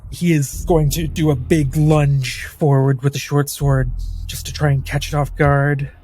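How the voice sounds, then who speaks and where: A man's voice: on the high side, little pitch movement, unhurried, darkish tone, slight background noise. A man playing a tabletop role-playing game, casually describing what his character does.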